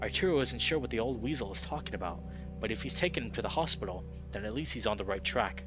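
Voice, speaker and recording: A young adult man reading a visual novel aloud with expressive narration and excitable, emphatic commentary. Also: on the low side, fast. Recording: slightly dull audio, music behind.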